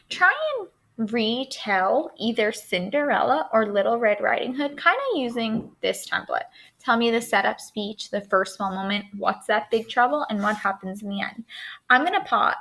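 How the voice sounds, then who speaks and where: A woman's voice: fairly high pitch, pushed and bright, rough recording. A woman giving a remote writing lesson as a schoolteacher, speaking directly to her pupils, explaining and asking questions. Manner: instructional, addresses listeners directly with questions. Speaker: elementary school writing teacher. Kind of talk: remote lesson with storybook read-aloud.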